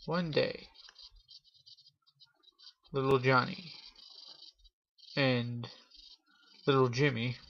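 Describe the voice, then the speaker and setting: A man hosting a Halloween story show, telling a spooky tale in a theatrical showman's manner with drawn-out pauses. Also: medium pitch, fairly even intonation, a bit bright. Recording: dull lo-fi audio with clear noise.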